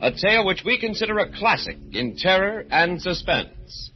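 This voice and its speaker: An actor as an ominous horror show announcer in dramatic 1940s radio style. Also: male voice, high-pitched, very animated, moderate pace. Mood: ominous, theatrical. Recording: dull old radio recording. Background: loud dramatic music.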